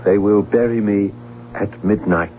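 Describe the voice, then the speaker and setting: An actor playing a grave, courtly, doomed bridegroom from the grave in an old radio drama, speaking formally and ominously. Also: male voice, lowish voice, steady tone, measured pace, natural voice. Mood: solemn, ominous. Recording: muffled phone-like old radio, humming noise.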